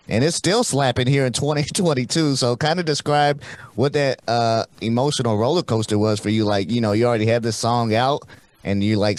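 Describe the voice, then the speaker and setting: Upbeat American radio host, dry sound. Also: male voice, medium pitch, no echo. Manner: energetic, hype.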